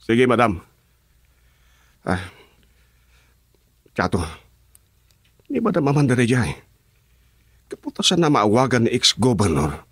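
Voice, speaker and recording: Ilocano aide for radio serial, male actor: mid-pitched, animated, brisk and respectful, dry sound. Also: husky, no echo, heavily acted. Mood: deferential. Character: deferential male aide to a boss. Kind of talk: Ilocano radio drama acting.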